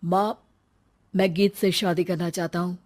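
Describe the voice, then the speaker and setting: A woman's voice: lowish voice, steady tone, unhurried, a little mellow. A woman voicing an earnest, protective young college man in a cartoon moral story, speaking in a pleading, righteous way. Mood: determined.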